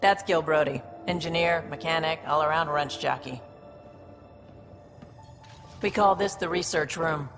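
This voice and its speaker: A woman playing a brisk, confident, streetwise alien ship provisioner in a video game. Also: sits low, music in the background. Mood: proud, businesslike. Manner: brisk, matter-of-fact, streetwise. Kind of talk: video game cutscene acting.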